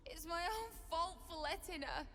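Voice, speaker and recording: A woman playing a grieving, self-blaming young widow in a fantasy video game, halting and anguished. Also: very high-pitched, lively intonation, pushed and bright, full character voice. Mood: tearful, anguished. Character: grieving young widowed mother-to-be, desperate and bitter. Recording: reverberant game audio with faint ambient noise.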